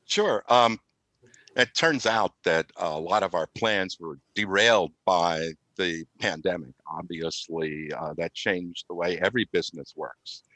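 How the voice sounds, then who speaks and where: A man's voice: sits low, a little slow, sharp tone, dry recording. A man giving explanatory answers as an IT specialist guest in an interview.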